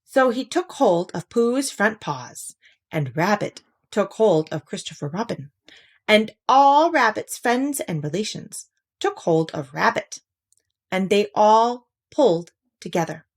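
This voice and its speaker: A woman reading a children's story aloud in an expressive narrating style. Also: mid-range voice, slow. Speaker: story reader.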